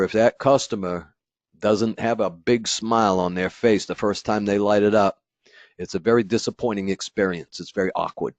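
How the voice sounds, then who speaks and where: A low-voiced man leads a training webinar for installers in a loose, rambling way, full of "uh" and restarts. His voice comes across close and dry, with a slightly dull, muffled tone. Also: dry recording. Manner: casual, rambling, many fillers and restarts; acts out an installer's inner thoughts. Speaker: company product trainer.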